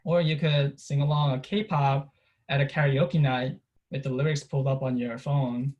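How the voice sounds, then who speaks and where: A young man from Korea, a native Korean speaker, giving a casual presentation to engineers about the Korean script, halting and full of fillers and restarts. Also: medium pitch, fairly even intonation, darkish tone, lo-fi sound.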